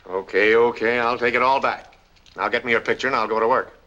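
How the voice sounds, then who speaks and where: An actor playing a skeptical, dry private detective in a 1940s film, heard on a hissy old soundtrack. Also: male voice, medium pitch, steady tone, fairly quick, sharp tone, lo-fi sound, natural voice. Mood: wry, knowing. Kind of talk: 1940s film noir acting.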